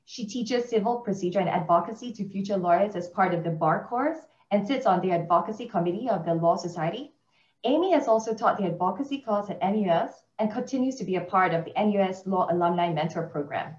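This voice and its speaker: A woman moderating an online panel, upbeat and quick to laugh as she introduces the speakers. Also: medium pitch, animated, a little mellow. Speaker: webinar moderator. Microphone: video call. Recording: echoey room, dull video-call audio.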